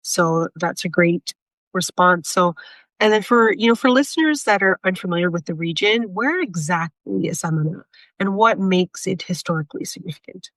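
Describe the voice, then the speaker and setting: A woman's voice: lowish voice, normal speed, fairly bright tone, no room sound, rough recording. A woman hosting a history podcast, asking questions with supportive, somewhat hesitant phrasing. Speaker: podcast host. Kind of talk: interview questions.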